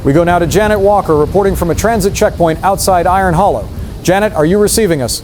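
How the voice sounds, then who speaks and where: A man's voice: sits high, fast, darkish tone, a little hiss or hum, lightly acted. A man playing a grave, dutiful news anchor in an apocalypse drama, speaking in clipped, formal emergency-broadcast style. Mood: grave, controlled.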